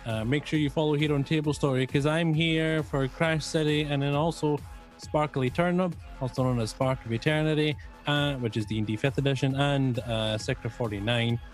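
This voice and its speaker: A man player giving an upbeat shout-out, reeling off his channels and plans. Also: medium pitch. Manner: upbeat, reels off plugs. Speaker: player and streamer. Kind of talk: casual stream shout-out.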